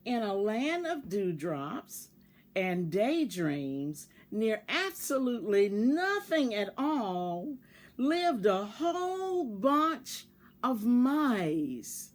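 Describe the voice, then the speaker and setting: A woman reading a picture book aloud for children in a measured way, pausing to address young listeners. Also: a little high, very animated. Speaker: volunteer children's story reader.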